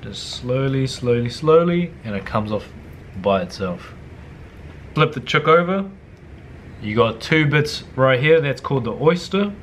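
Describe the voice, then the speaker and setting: A young man with a New Zealand accent, talking casually through a cooking tutorial in his home kitchen, slangy and swearing offhand, often dropping to low mutters. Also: expressive, measured pace, slight background noise. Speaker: cooking vlogger.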